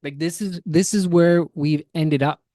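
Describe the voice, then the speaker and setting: A man's voice: fairly high pitch, fairly even intonation, measured pace, mellow, no room sound, studio quality. A man hosting a podcast with casual, critical and opinionated reaction commentary. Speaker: podcast host.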